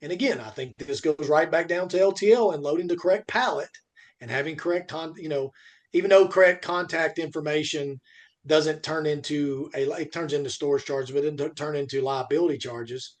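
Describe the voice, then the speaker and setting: Higher-pitched man talking shop casually. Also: brisk. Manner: rambling, emphatic with repetition. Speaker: podcast co-host. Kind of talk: casual industry discussion.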